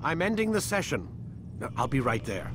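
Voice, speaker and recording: An actor playing a cold, domineering older scientist in a video game, with clipped, commanding speech. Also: male voice, medium pitch, sing-song, moderate pace, music in the background, natural voice. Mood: curt. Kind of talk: naturalistic video game dialogue.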